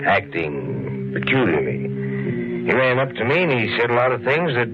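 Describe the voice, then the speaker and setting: An actor playing a plain-spoken, steady police officer, folksy and a little hesitant, in 1940s radio style. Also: male voice, medium pitch, moderate pace, music in the background, natural voice. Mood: matter-of-fact. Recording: dull lo-fi 1940s radio recording.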